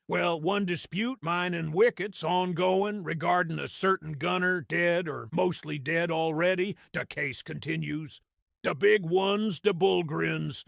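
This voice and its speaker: A man voicing a small, boastful, sly goblin storyteller with a rough dialect, telling an audio drama tale in a theatrical, wry way. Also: a little high, fairly bright tone, no room sound, studio quality, exaggerated voice. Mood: smug, wry.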